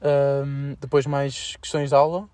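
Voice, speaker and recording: A young man with a European Portuguese accent chatting informally as a podcast co-host, with slangy, halting delivery. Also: mid-range voice, flat delivery, a little mellow, no room sound. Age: young adult. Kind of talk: casual student podcast chat.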